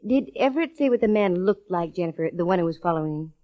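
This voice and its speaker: Muffled old radio show, actress doing a tough american lady detective, lowish voice, slangy n wry. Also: animated, fast, close to an everyday voice. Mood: wry, curious. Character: wisecracking, tough, breezy female private eye. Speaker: radio actress, lead and first-person narrator. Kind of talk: 1940s-50s American radio detective acting. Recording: dull old radio recording.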